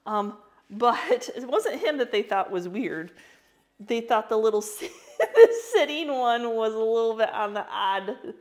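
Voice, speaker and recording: A woman's voice: a little high, animated, a little slow, darkish tone. A woman, a reseller chatting casually on her vlog as she shows her auction finds, rambling with fillers and small laughs. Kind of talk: casual vlog show-and-tell.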